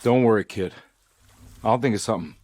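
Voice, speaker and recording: An actor playing a haunted, guilt-ridden private detective in a horror game, with a brooding noir delivery. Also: male voice, fairly low pitch, a little mellow, lightly acted. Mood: urgent. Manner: brooding noir narration with halting confessions. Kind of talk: scripted game voice acting.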